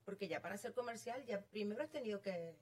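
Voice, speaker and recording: A woman's voice: medium pitch, lively intonation, quick pace, slightly rough. A middle-aged woman with a Venezuelan accent, telling her story casually as an interview guest. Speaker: interview guest, real estate agent.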